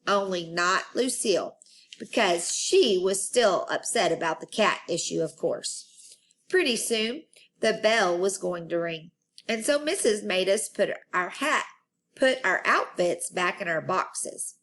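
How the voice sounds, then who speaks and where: A woman storyteller reading a children's book aloud in an expressive style. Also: medium pitch, a little slow.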